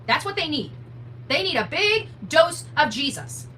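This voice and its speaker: A woman delivers combative livestream commentary in a bright, sharp, high voice. She is emphatic and blunt, throwing rhetorical questions at her audience with very animated intonation. The mic sounds distant, with a faint hum behind her. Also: measured pace. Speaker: livestream host, advocate commentator. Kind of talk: fired-up livestream commentary addressed to viewers.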